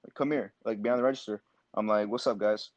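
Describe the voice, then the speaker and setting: A young man from Pakistan guests on a podcast and tells a story with streetwise energy, calling people bro again and again. His voice sits a bit low. The recording is dry and dull, with a lo-fi feel. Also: fast talker, no room sound. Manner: animated, streetwise, says bro a lot. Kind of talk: casual storytelling.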